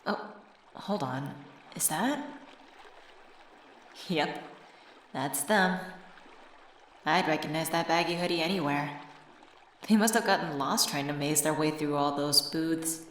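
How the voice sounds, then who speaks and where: A woman's voice: low voice, takes it slowly, long pauses, a little hiss or hum. A young woman acting as a teasing, affectionate old friend in a casual ASMR comfort roleplay. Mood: wistful. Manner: playful, teasing, familiar slangy chat.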